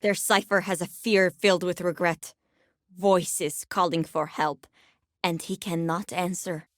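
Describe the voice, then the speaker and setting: A woman plays a sleepless game agent who stalks people's nightmares. Her voice sits low, and she speaks with brooding, measured menace and a wry edge. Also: steady tone, faint music behind, natural voice. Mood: ominous, sombre. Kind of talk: video game character voice lines.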